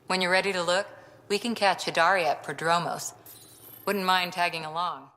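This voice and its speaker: A woman acting a tough young lieutenant in a sci-fi game cutscene. Her voice is on the low side and earnest, carried in a long reverb. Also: steady tone, moderate pace, a bit bright, close to an everyday voice. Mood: eager. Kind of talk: video game cutscene dialogue.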